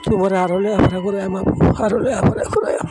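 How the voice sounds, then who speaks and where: High-pitched pleading younger brother played by a man. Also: quick pace, over loud music, close to an everyday voice. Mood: wistful, sad. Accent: rural Bangladeshi. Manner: pleading, plaintive. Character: wistful younger brother, homesick, missing his mother. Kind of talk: cartoon drama dialogue.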